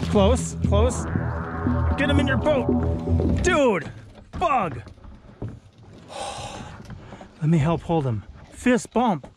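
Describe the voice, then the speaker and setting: High-voiced man coaching from a boat. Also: drawn-out words, long pauses, music in the background. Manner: encouraging, excited, coaching a child. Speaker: vlogger. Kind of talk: casual coaching commentary. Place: outdoors on a lake from a boat.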